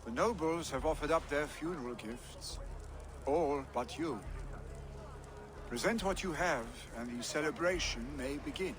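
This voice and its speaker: An actor playing a proud, grieving Viking jarl's son, speaking in solemn, declamatory oratory for a video game. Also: male voice, fairly high pitch, measured pace, close to an everyday voice. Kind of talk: dramatic video game acting, funeral oratory.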